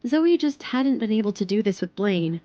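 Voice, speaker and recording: A woman's voice: medium pitch, very animated, moderate pace, dark tone, dull audio. A woman narrator reading a scripted third-person romance story for an audio drama.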